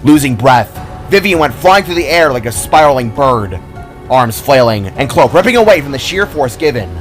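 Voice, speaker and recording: A man's voice: medium pitch, sing-song, normal speed. A man reading his own scripted action story as narrator, in a dramatic storytelling style. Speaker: author-narrator. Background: music.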